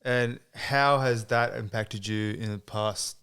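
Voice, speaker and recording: A man's voice: fairly low pitch, fairly even intonation, slow pace, a bit bright, clean tone. A man with an Australian accent hosting a podcast, asking questions in a casual, chatty way and backing up his guest with frequent mm-hmms. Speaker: podcast host. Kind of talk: casual interview conversation.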